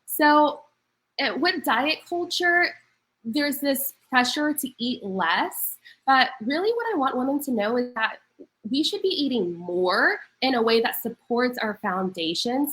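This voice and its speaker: Bright, congested woman wellness coach. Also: a little high, very animated, measured pace, poor audio. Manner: earnest, upbeat, explanatory. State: congested from a cold. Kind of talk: casual podcast talk.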